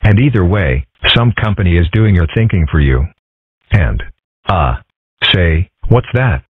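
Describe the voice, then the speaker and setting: A man's voice: low-pitched, animated, unhurried, slightly rough, dry recording, full character voice. A man voicing a wry, philosophical young fox in a scripted comic dub. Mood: musing. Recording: muffled phone-like lo-fi audio.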